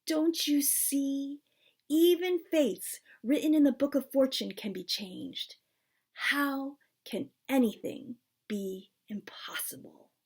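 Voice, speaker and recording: A woman voicing a wise goldfish man in a storybook, gently reproving in a measured, persuasive way. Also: high voice, voice pushed up.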